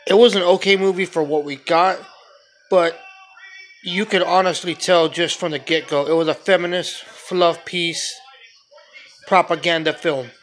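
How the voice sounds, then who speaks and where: A man with a noticeably high-pitched voice delivers an off-the-cuff amateur review. He is blunt and opinionated, complaining in an exasperated way with plenty of profanity and repetition. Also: steady tone, a little slow, a little hiss or hum, rough recording. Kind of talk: casual opinionated rant review.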